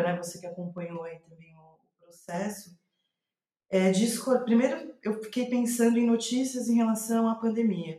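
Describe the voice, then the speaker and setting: A woman's voice: lowish voice, darkish tone. A Brazilian woman speaking as an interviewed playwright, reflecting in conversation and circling back to rephrase as she thinks aloud. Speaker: guest playwright. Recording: roomy, echoing voice recording.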